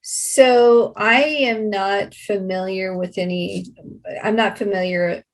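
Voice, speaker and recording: A woman's voice: mid-range voice, steady tone, slow. An American woman speaking as an interview guest and community advocate, explaining conversationally and repeating points for emphasis.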